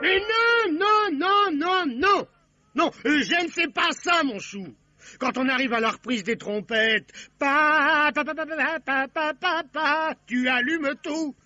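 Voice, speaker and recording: A man playing a fussy, excitable theatrical director in a radio play, exclamatory and cajoling. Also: very high voice, slow pace, no room sound, faint music behind, full character voice. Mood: exasperated. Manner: theatrical, exclamatory, cajoling, sings trumpet cues. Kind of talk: French radio play acting. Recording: dull band-limited old radio recording.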